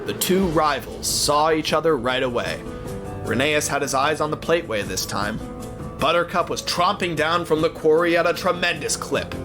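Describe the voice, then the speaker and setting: A man's voice: fairly high pitch, expressive, normal speed. A man narrating a scripted story in a suspenseful, theatrical way. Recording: music behind the voice.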